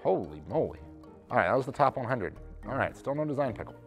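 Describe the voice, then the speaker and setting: Animated man reading a list aloud. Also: medium pitch, fast, faint music behind. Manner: mock-announcer delivery dropping into muttered deadpan commentary. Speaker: company mascot presenter. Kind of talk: reading a list aloud with offhand comic asides. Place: large glass-walled office room, indoors.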